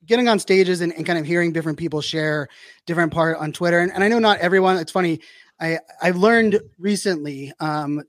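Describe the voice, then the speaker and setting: A man's voice: high voice, no echo, studio quality. A man in his forties hosting a podcast, chatty and upbeat with lots of fillers and restarts. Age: 40s. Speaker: podcast host. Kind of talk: casual interview chat.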